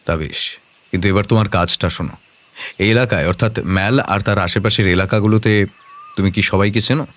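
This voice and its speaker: Guy acting as a confident detective, lowish voice, measured, kindly but in charge, audio drama. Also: fairly bright tone, dry recording, lightly acted. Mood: kindly, commanding. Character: calm, self-assured male detective, kindly but commanding.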